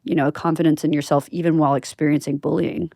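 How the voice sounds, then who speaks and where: A woman hosts a casual interview in a slightly low-pitched voice. She is chatty and supportive in how she asks and responds, and she is recorded dry, without room sound. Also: level tone, fast talker, creaky, warm tone, no room sound. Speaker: podcast host, interviewer.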